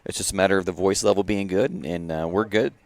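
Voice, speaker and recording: A man's voice: sits low, fast, no echo. A man hosting an outdoors podcast, chatting casually and laughing easily as he talks. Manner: laid-back, laughs often, restarts words. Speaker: podcast host. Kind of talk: casual chat.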